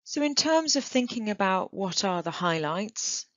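A woman's voice: medium pitch, big pitch swings, no echo. A woman giving an enthusiastic conference lecture on archaeology over slides. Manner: enthusiastic, measured, with occasional "um" and restarts. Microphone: computer mic on a video call. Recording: dull lo-fi online talk.

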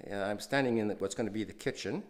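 A man's voice: lowish voice, fast. A man hosting a renovation video, casually walking through an empty house and talking with many fillers and restarts. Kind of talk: casual walkthrough narration.

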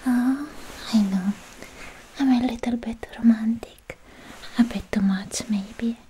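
A woman playing a shy, lovestruck young demon slayer in a whispered, halting ASMR roleplay, sweet and romantic, close on the microphone. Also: a little high, slight background noise, low-quality recording. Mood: shy, wistful. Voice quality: soft, breathy whisper. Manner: hesitant, bashful, halting with many pauses.

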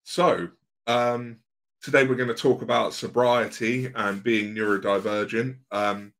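A man's voice: medium pitch, fairly even intonation, measured pace, fairly bright tone. A man in his mid-thirties hosting a podcast, introducing a guest and asking questions in a friendly, slightly hesitant way with ums and uhs. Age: 30s. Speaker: podcast host. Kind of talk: casual podcast interview.

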